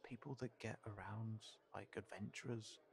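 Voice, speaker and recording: A man playing a cautious, protective fantasy character in an intimate audio roleplay, speaking haltingly and thoughtfully as if to one companion. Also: fairly low pitch, steady tone, vocal fry. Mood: worried, hesitant. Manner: hesitant, halting, thoughtful, trailing off.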